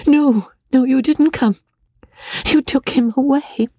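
A woman doing a high, distraught young woman. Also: slow pace, dark tone, dry recording, studio quality, heavily acted. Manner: wild, pleading, tearful.

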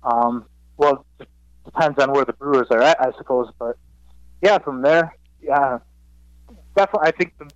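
A man's voice: mid-range voice, moderate pace, long pauses, dry recording. A young man, a student sports writer, giving rambling interview answers that correct themselves as he goes. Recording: dry, dull lo-fi line.

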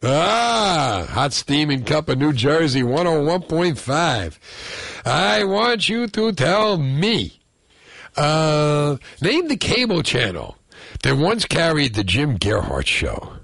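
A man hosts a radio call-in trivia game with a fairly high voice that leaps up and down in pitch. He jokes and teases callers warmly but quickly. His sound is close and dry, straight into a studio mic. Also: very animated, creaky, no echo. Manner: upbeat, teasing banter with callers. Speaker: radio host. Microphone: studio microphone.

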